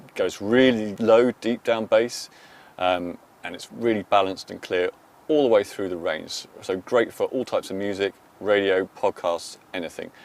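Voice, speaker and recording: A man's voice: lowish voice, moderate pace, a little mellow. A man, a technology journalist giving an enthusiastic, informal review of a car's infotainment system. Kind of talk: product review to camera.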